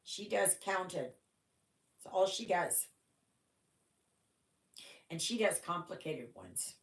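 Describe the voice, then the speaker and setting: A woman's voice: lowish voice, animated, takes it slowly, roomy sound. A Canadian woman whose first language was German, hosting a casual stitching livestream and chatting in a rambling way full of "oh my gosh".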